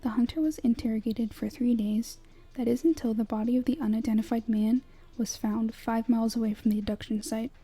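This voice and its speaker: A young woman narrates in a hushed, breathy whisper with a very mellow, muted tone. The voice is husky and lightly crackles as she delivers a scripted, measured horror breakdown, much like ASMR storytelling. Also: on the high side, fairly even intonation.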